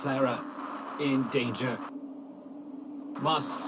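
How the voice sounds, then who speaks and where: A man voicing a damaged protective robot in a video game, speaking in halting, machine-like fragments. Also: mid-range voice, heavy background noise. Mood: urgent, mechanical. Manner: halting, flat machine-like phrases. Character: malfunctioning protective robot, halting and single-minded.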